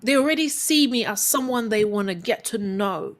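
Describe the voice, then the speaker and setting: A woman's voice: a little high, animated, normal speed. A woman guest on an interview show, telling a personal story in an earnest, coaching way and repeating phrases for emphasis. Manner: calls herself quiet-voiced. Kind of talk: reflective motivational storytelling.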